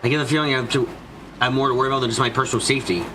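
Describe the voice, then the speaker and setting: A man's voice: mid-range voice, fairly even intonation, very fast, noisy background. A man reading dramatic scripted narration for a visual novel fan dub, now and then stumbling over the lines. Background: background music.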